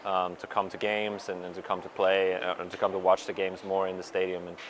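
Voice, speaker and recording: A man's voice: low-pitched, monotone, a little fast, background noise. A young man, a pro soccer player, answering reporters after a game, hesitant with many fillers and restarts. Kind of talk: postgame media scrum. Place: stadium media area in front of sponsor backdrop. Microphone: reporters' handheld mics and recorders held close.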